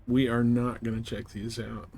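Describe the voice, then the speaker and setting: A man's voice: mid-range voice, flat delivery, moderate pace, darkish tone, husky. A man chatting casually and in a rambling, laid-back way as he streams a game. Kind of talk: casual chat while playing.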